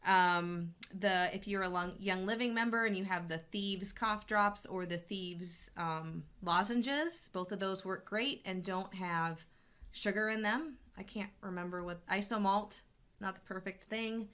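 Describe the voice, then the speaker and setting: A woman's voice: medium pitch, a little slow, a bit bright, clear, resonant tone. A woman hosting a casual live question-and-answer chat as a health coach, talking in a chatty, rambling way with many restarts, her voice still recovering from an illness. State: voice still recovering from being sick. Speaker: health coach and show host.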